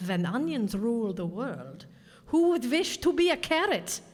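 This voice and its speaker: Woman as menacing onion despot, highish voice, theatrical, condescending, formal radio-play villain, reverberant hall. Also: very animated, measured pace. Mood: persuasive. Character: smooth scheming onion leader, menacing and falsely courteous. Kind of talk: stage radio drama acting. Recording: reverberant hall, stage performance.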